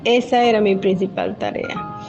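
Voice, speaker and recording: An older woman tells her own story as a voice-over, calm and measured, from the Venezuelan Amazon. Her pitch sits in a plain middle register. The recording is a little dull and lo-fi, with music clearly playing beneath her. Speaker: retired nurse. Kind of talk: scripted first-person narration.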